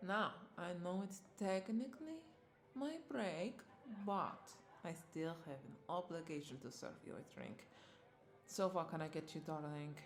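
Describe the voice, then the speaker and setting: A woman's voice: fairly low pitch, animated, unhurried. A woman playing a flirty, doting vampire bartender in a roleplay audio drama, coaxing and affectionate as she speaks to the listener. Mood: soothing, attentive. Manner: coaxing, affectionate, lingering over words with soft chuckles.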